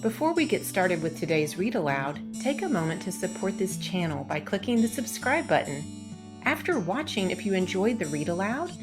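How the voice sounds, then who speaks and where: A woman's voice: mid-range voice, husky. A woman hosting a read-aloud, narrating a children's storybook. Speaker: read-aloud channel host.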